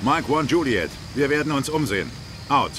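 An actor playing a seasoned, dry-humoured army sergeant, terse and commanding, in a video game. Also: male voice, mid-range voice, very animated, moderate pace, lightly acted. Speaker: squad sergeant. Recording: loud battlefield noise, helicopters and vehicles behind.

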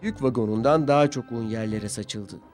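A man's voice: mid-range voice, moderate pace, darkish tone. A man narrating a scripted story for children as its storyteller. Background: music.

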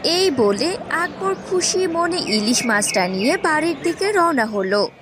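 A woman narrating a scripted cartoon fairy tale in an expressive storytelling style. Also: sits high, measured pace, noisy background.

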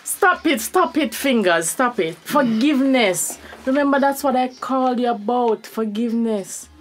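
A woman's voice: fairly high pitch, lively intonation, normal speed, lightly acted. A woman playing a regretful wife in a radio soap, pleading earnestly for forgiveness.

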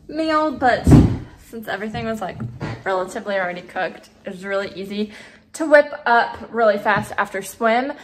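A woman's voice: on the high side, poor audio. A young woman casually chatting about her day while filming herself on a handheld phone at home. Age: young adult. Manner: chatty, upbeat, relaxed. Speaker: vlogger. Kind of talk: casual day-in-the-life narration. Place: home interior and car. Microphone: phone camera, handheld selfie.

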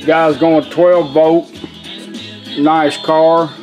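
A man's voice: a little high, flat delivery, very slow, darkish tone. A middle-aged man giving a casual, plainspoken product demonstration at a workshop bench. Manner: folksy, plainspoken. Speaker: car radio restorer demonstrating his build. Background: radio playing music and talk.

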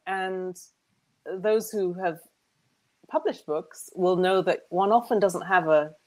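Low-voiced woman interviewing on a video call. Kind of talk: casual video-call conversation. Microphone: wired earbuds.

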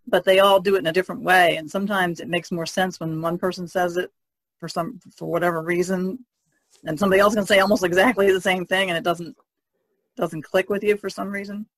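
A woman's voice: fairly low pitch, vocal fry, no echo, low-quality recording. A woman chatting informally as a podcast co-host, repeating words as she thinks. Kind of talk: casual chat.

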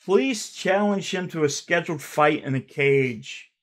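A man in his thirties reading fan comments aloud on his channel, rambling and swearing, with a stutter he mentions himself, recorded by a webcam in a dark room at home. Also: a little high, lively intonation, unhurried. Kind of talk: casual reaction commentary.

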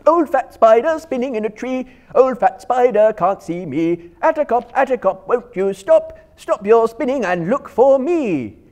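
A man playing a plucky, mischievous little hobbit from a storybook, in a loud sing-song taunting chant. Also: very high voice, expressive, measured pace, hardly any pauses, voice pushed up. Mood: taunting, cheeky.

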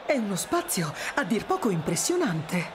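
A gracious older queen acted by a woman, with a medium-pitched, very lively voice. She is a doting cartoon mother, heard over clear background ambience. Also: normal speed, noisy background, natural voice. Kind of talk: animated game dub.

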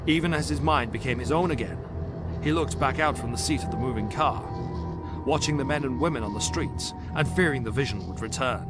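A male narrator delivers scripted, dramatic prose for an audio drama. His voice sits at a medium pitch. Loud music runs behind him. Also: a little fast.

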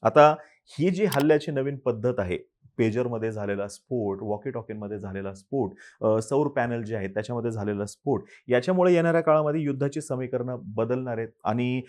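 A man giving a scripted news explainer in a measured, explanatory way, asking rhetorical questions and answering them. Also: mid-range voice, darkish tone.

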